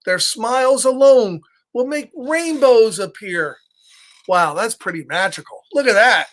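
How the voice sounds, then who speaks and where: A middle-aged man hosts a live story time for children. He reads from a picture book in an animated, playful way. He breaks off often to chat, ask questions and greet listeners by name. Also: extremely high pitch, a little slow. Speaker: storybook read-aloud host.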